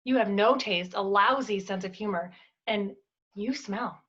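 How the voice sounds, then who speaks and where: An actress playing a prim, scornful woman with a precise, deliberate, cutting delivery in a theatrical monologue. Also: medium pitch, fairly bright tone, highs cut off. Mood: withering disgust. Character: prim, scornful woman bluntly rejecting a suitor.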